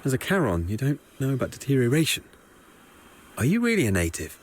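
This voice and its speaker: An actor playing a nervy, talkative foot soldier in a video game, confiding and evasive in a conversational way. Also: male voice, mid-range voice, huge pitch swings, fairly quick, slight background noise. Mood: confiding, somber. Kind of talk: video game character dialogue.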